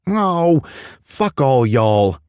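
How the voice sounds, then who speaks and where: A man's voice: medium pitch, very animated, very slow, a little mellow, smooth, dry recording, studio quality, voice pushed up. A man voicing a playful, teasing young British man with a British accent.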